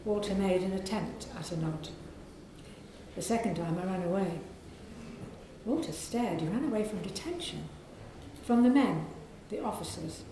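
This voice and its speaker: A woman with a soft, croaky voice, an author reading aloud from her novel to an audience in a library. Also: mid-range voice, very echoey, background noise. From England. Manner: measured reading with clearing of the throat.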